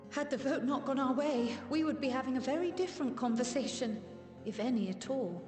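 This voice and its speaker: A woman's voice: high voice, brisk, darkish tone, lightly acted. An actress playing a composed, confident young female scholar in a video game cutscene, formal and articulate. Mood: poised. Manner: formal, poised. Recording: reverberant hall, faint music behind.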